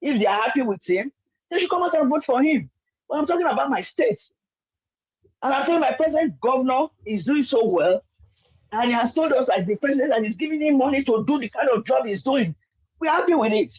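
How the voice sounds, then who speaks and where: A woman's voice: high-pitched, animated, fairly quick, darkish tone, breathy edge. An older Nigerian woman with a Nigerian accent, arguing politics as a caller, insistent and talking over the host. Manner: insistent, talks over the host, repeats herself. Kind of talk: heated political call-in argument. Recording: muffled lo-fi line.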